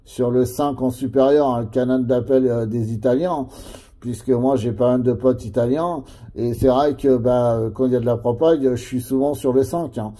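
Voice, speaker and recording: A man's voice: medium pitch, normal speed, mellow. A man chatting as a CB radio operator. He explains things in a hesitant way. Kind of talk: casual radio chat.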